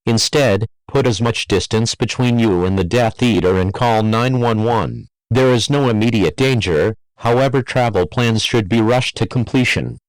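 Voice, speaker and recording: A man's voice: on the low side, no echo, rough recording. A man's synthetic-sounding voice reading a scripted emergency alert in a formal, impersonal way.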